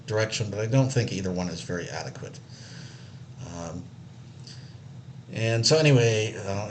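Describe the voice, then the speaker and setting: A man's voice: sits low, background noise. A man from Israel telling his life story casually, rambling a little with many fillers and restarts. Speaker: accessibility analyst.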